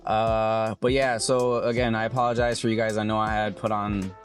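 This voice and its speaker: A young adult man with a hoarse, raspy voice, chatting casually and rambling as he loses his train of thought. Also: fairly low pitch, flat delivery, normal speed, faint music behind. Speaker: vlogger. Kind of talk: casual vlog chat.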